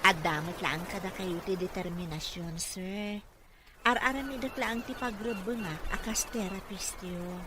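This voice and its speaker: A woman's voice: medium pitch, unhurried, background noise, lightly acted. A woman playing a devoted, respectful therapist to a rich boss in an Ilocano radio drama. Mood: encouraging.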